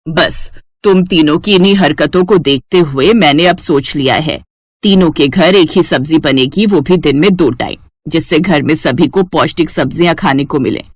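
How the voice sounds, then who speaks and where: Woman voicing a stern, low-pitched old mother-in-law. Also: normal speed, breathy edge, dry recording, clean studio sound. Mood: firm, commanding. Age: older. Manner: scolding, complaining. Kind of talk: Hindi moral-story cartoon voice acting.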